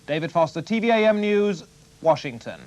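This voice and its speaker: A man's voice: fairly high pitch, big pitch swings, a little fast, darkish tone, dull audio. A man delivering a scripted television news report as a correspondent.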